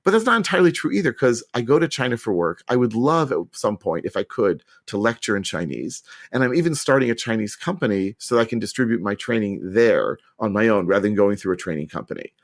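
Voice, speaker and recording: A middle-aged man answering interview questions with chatty enthusiasm and quick restarts. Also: on the low side, very animated. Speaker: podcast guest.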